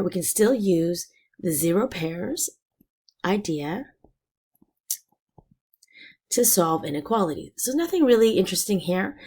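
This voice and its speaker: A woman teacher walks through a math lesson patiently, one step at a time. Her voice is low, raspy and gravelly from a cold. Her pitch still moves up and down a lot as she explains. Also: darkish tone. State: hoarse from illness.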